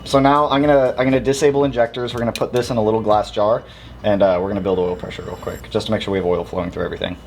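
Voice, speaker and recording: A man's voice: lowish voice, fairly even intonation, very fast, noisy background. A man casually explaining car repair steps as he works, upbeat and plain-spoken, in a garage. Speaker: car modding vlogger. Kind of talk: casual hands-on narration. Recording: clear background music over voice.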